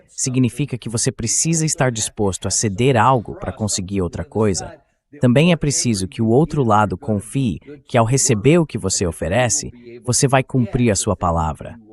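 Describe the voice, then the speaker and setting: A man presents political commentary as the host of a show. His delivery is that of a translated voice-over, set at a middle pitch. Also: a little mellow.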